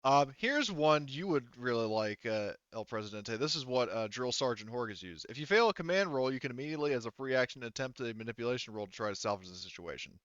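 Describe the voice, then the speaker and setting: A man's voice: mid-range voice, big pitch swings, fast talker, few pauses, a bit bright, smooth, no echo. A man chatting casually as a game master, informal and given to swearing, on dull lo-fi audio. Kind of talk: casual chat after a tabletop game.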